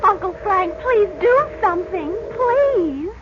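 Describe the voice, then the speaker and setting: An emotional girl with a very high, swooping, unhurried voice in a vintage radio play. The recording is muffled and noisy. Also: lively intonation, full character voice. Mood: pleading, alarmed. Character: emotional, pleading young daughter. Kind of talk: 1940s radio drama acting.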